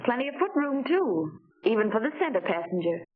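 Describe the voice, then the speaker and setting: A woman's voice: a little high, big pitch swings, brisk. An actress playing a well-bred, admiring lady car shopper, genteel and carefully enunciated, in 1940s promotional film style. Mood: pleased, admiring. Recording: tinny 1940 film soundtrack.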